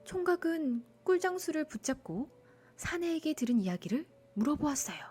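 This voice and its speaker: A woman narrating a children's bedtime folktale in an expressive storytelling style. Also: sits high, normal speed.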